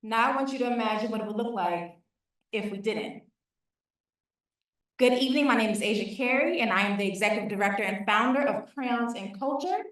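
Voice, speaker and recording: Woman pitching in an echoey hall. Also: a little fast, a bit bright. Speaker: nonprofit founder pitching. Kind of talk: prepared pitch speech. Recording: echoey hall, distant mic.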